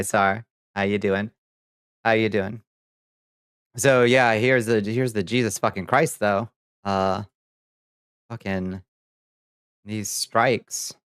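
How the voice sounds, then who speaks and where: A man hosting a livestream, chatting casually with viewers and commenting on news in a halting, profane, muttering way. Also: fairly low pitch, a bit bright, clean tone, dry recording, studio quality. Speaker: livestream host reading viewer chat.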